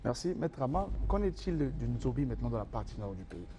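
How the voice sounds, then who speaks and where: A man interviewing a spiritual master, asking calm, probing questions. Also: medium pitch, a little fast, noisy background.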